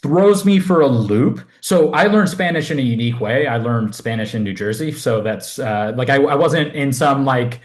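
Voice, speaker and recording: A man's voice: mid-range voice, expressive, moderate pace, few pauses. Rambling young man from Southern California. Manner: rambling, self-deprecating, many fillers. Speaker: podcast guest, fitness coach. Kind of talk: casual interview chat.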